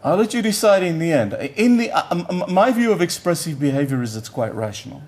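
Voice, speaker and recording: A man's voice: high-pitched, big pitch swings, quick pace, a little mellow. An older man, a professor whose first language is Yiddish, giving a conference lecture in a conversational, blunt way, often stopping to search for words and repeating himself.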